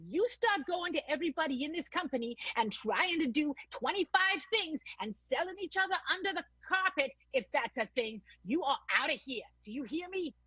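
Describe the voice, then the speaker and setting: A woman's voice: high voice, animated, moderate pace, few pauses, sharp tone, no room sound. Actress as exasperated woman manager, bossy, insistent, radio soap, dry and slightly dull recording. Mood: exasperated warning. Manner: stern, insistent, commanding. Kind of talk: improvised comedic radio play acting.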